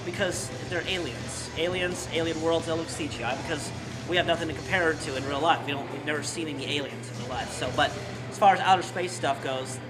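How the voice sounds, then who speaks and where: A man's voice: on the high side, fairly quick, low-quality recording. A man giving casual opinions as a guest reviewer on a movie show. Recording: loud music behind voice.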